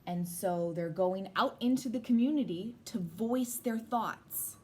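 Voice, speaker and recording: A woman's voice: mid-range voice, unhurried. A woman teacher reading aloud to young children and explaining things simply as she goes. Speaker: teacher reading a picture book to a class. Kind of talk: read-aloud with explanatory asides. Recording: noisy background.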